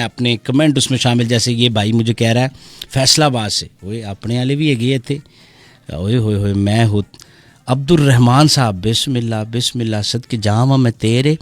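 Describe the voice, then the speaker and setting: A man's voice: medium pitch, no echo. A man with a Pakistani accent hosting a casual live session, reading out listeners' messages with teasing, theatrical banter and slipping into Punjabi and English. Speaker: radio show host on a live social media session. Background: music playing.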